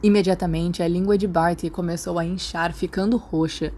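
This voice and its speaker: A woman's voice: lowish voice, steady tone, moderate pace, warm tone. A woman with a Brazilian accent reading a story as a scripted audiobook narrator. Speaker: podfic narrator.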